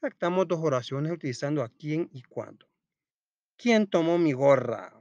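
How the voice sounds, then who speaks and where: A man's voice: on the high side, lively intonation, normal speed, a little mellow, dry recording, highs cut off. A man reading a children's school lesson aloud like a teacher, step by step, posing questions and answering them himself. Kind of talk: read-aloud storytelling and step-by-step lesson narration.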